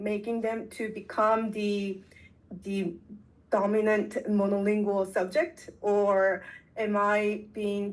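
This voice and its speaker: A woman who teaches at a university thinks aloud in an online seminar. She speaks haltingly, with many fillers and restarts, and slows down as she reflects. Her pitch is in the middle range for a woman. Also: poor audio. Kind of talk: reflective academic seminar discussion.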